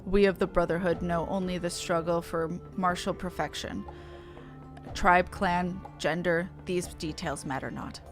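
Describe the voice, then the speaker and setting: A woman's voice: lowish voice, steady tone, soft background music, voice pushed down, close to an everyday voice. A woman voicing a stern, proud beastman war leader in a game, speaking solemnly and formally. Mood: grave, solemn. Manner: solemn, slow, formal delivery. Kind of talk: reading game dialogue in character.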